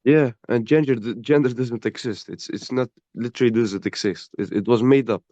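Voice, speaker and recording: A man's voice: mid-range voice, dark tone, no echo. Blunt guy stumbling over words, dry muffled audio. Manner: halting, repeats words, blunt and dismissive. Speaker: stranger in an online debate. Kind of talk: heated argumentative debate.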